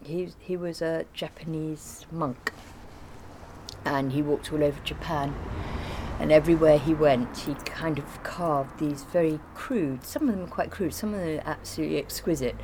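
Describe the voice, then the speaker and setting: A woman's voice: low-pitched, normal speed, background noise. A woman in informal conversation, explaining and recollecting in a rambling way. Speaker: artist being interviewed.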